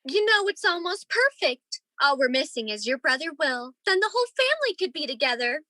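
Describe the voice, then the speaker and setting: A woman's voice: sits high, sing-song, fairly quick, sharp tone, no room sound, clean studio sound, heavily acted. A woman playing a doting, chatty, homey mother of grown sons in a radio play. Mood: cheerful.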